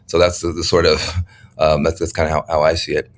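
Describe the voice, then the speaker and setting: A middle-aged man hosting an interview, musing aloud with many fillers and getting animated when he laughs at a point. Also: a bass voice, fairly quick, a little hiss or hum. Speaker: host. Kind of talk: interview conversation.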